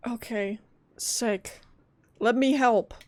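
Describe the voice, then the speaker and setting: A woman streaming a video game, reacting casually in a playful, expressive way. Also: a little high, drawn-out words. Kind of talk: casual live gameplay reactions.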